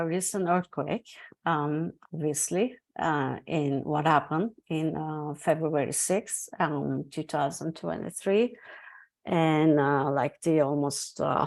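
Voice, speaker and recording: Low, slow Turkish-accented woman. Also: darkish tone. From Turkey. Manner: hesitant, many fillers. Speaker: guest speaker, academic. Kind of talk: online presentation. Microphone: video call.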